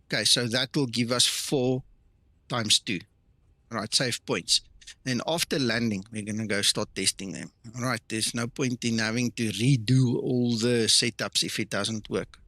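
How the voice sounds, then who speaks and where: Male streamer, non-native English speaker; bright, dry delivery; casual chat with viewers. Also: medium pitch, fairly even intonation, measured pace, dry recording. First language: not English. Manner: casual and chatty, greets viewers by name, many fillers and restarts. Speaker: flight sim streamer. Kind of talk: live stream chat, reading and answering viewer comments.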